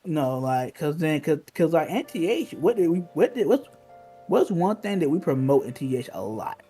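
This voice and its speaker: Dude, kinda raspy, talks slangy and repeats stuff for emphasis, music in the back. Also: male voice, on the high side, sing-song, moderate pace, warm tone. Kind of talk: casual chat, reminiscing.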